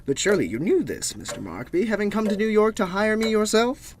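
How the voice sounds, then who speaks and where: A man's voice: high voice, animated, a little fast, a little hiss or hum. A man playing a naive, earnest young American in a comic gothic audio drama, with melodramatic, theatrical delivery. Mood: nervous, deferential. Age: young adult. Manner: melodramatic, earnest, hesitant with superiors. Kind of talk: theatrical first-person audio drama narration and dialogue.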